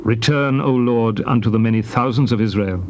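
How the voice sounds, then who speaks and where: An actor playing an earnest, fervent Hebrew leader in a dramatized scripture recording, in a declamatory manner. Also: male voice, medium pitch, moderate pace, a little mellow, natural voice. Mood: fervent invocation. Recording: dull tape, faint noise.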